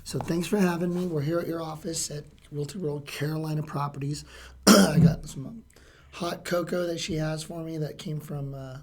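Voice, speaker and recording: A man from California with a hoarse, raspy voice after a lot of talking, chatting casually as he interviews a guest in a real estate office. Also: on the high side, fairly even intonation, normal speed, darkish tone. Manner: chatty, with frequent "uh" fillers.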